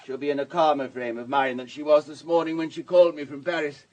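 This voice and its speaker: An actor playing a wealthy, urbane businessman in his sixties in a radio drama, husky and discursive, with a worldly, paternal manner. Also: on the high side, flat delivery, fast talker, darkish tone, lightly acted. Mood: brisk, concerned. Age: 60s.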